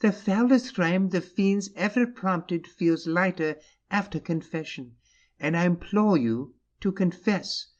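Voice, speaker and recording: Audiobook drama: male actor playing tiny earnest priest, slow and grave, slightly dull muffled recording. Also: sits high. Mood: urgent, imploring. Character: small, gentle, grave male priest, quietly insistent.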